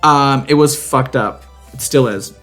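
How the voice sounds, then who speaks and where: A young man co-hosting a casual podcast, telling stories in a campy, slangy, animated way. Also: mid-range voice, unhurried, faint music behind. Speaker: podcast co-host. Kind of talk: casual chat.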